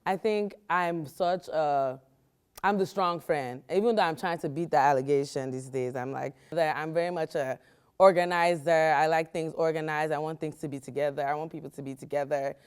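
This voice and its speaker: A woman in her thirties talking thoughtfully and in a measured way about herself. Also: low-pitched, darkish tone, clear, resonant tone. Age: 30s. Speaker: interviewee, single woman dating. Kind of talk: casual interview talk.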